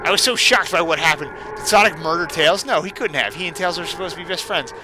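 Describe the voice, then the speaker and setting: A man's voice: fairly high pitch, fast talker, background noise, voice pushed up, close to an everyday voice. A man playing an earnest young gamer who narrates his horror tale in an overwrought way. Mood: shocked. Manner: overwrought, melodramatic.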